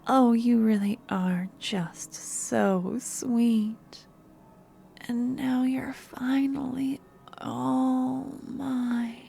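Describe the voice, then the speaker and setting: A woman playing an obsessive young stalker in an ASMR roleplay, sweet yet menacing, speaking softly and often dropping to a whisper. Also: a little high, steady tone, drawn-out words, vocal fry, slight background noise, heavily acted. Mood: possessive, adoring.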